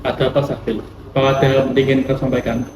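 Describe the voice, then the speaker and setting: A man plays a prudent senior nationalist leader in a historical film, wording things formally. His delivery is quick, and the sound is reverberant with noticeable noise. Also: medium pitch, little pitch movement, lightly acted. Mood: concerned. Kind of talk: amateur historical film acting, formal scripted dialogue. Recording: echoey room, distant mic, clear noise.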